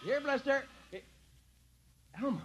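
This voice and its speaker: A man's voice: very high-pitched, a little slow. A man with an American accent playing a breezy, offhand military husband and father in a 1950s radio drama. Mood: puzzled concern. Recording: muffled 1950s radio recording.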